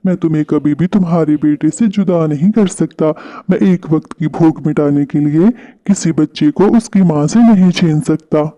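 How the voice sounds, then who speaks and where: A man's voice: on the high side, moderate pace, few pauses, mellow, natural voice. A man voicing a big male kite, a predatory bird in a children's cartoon. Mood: remorseful, gentle. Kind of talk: Hindi children's cartoon voice acting.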